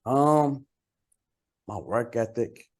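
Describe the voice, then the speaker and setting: A man from Costa Rica who grew up speaking Spanish talks in a relaxed interview as a rapper. His pitch sits in the middle for a man. He uses slang freely and repeats words to drive a point home. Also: very slow. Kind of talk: casual interview chat.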